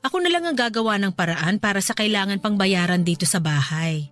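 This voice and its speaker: Woman doing sweet caring wife, gentle and soothing, music playing softly behind. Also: mid-range voice, lively intonation, a little fast, close to an everyday voice. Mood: reassuring. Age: middle-aged. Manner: soft, affectionate, soothing. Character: gentle devoted wife, middle-aged farmer's spouse. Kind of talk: radio drama acting.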